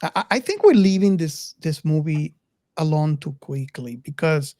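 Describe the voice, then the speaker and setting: A podcast host talks casually through a film discussion at a middling pitch. The delivery is hesitant, with stammered and repeated words, and the sound is very dry. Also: moderate pace, warm tone, dry recording.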